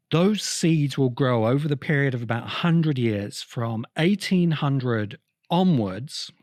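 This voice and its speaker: Man giving a deliberate explanatory monologue. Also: mid-range voice, darkish tone, no room sound, clean studio sound. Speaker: podcast host. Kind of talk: explanatory literary history monologue.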